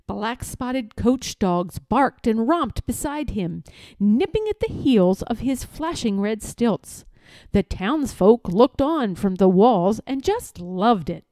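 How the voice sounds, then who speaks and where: A woman narrates a children's story with a very expressive, swooping delivery. Her tone is soft and mellow, and the recording is close and dry. She phrases deliberately, like a storyteller. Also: a little high, no echo. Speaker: read-aloud storyteller.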